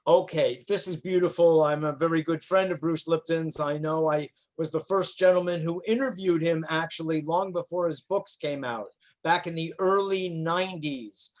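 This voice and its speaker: A man moderating an online panel, effusive and flattering, laughing often. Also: on the high side, normal speed.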